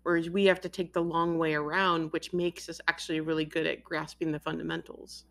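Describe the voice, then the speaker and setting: A woman hosts an interview with a slightly low voice. She talks in long, eager runs when she explains an idea. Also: level tone, brisk, gravelly, fairly bright tone. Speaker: interviewer, host. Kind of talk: casual interview chat.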